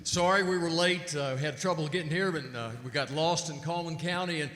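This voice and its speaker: Man playing folksy gospel quartet leader, slightly high voice, halting stage banter, echoey hall, music around him. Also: a bit bright, lightly acted. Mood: sheepish, friendly. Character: folksy, apologetic male leader of a visiting gospel group. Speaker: gospel singing group leader. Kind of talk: stage acting, live show banter.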